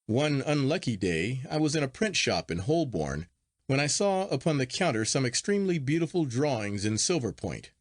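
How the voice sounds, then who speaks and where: Expressive male audiobook narrator with lively intonation. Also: medium pitch, no room sound, studio quality. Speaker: audiobook reader. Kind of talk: scripted literary narration.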